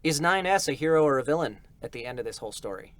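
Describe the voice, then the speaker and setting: A man's voice: a little high, expressive, fast talker, a little hiss or hum. A man who works as a voice actor, chatting casually on a question-and-answer livestream panel.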